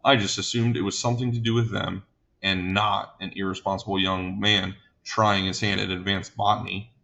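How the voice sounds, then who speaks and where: Guy voicing a fussy gentle giant, flustered and prim, kinda low voice, comic story. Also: little pitch movement, moderate pace, creaky, highs cut off, heavily acted. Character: fussy gentle male giant giving a statement.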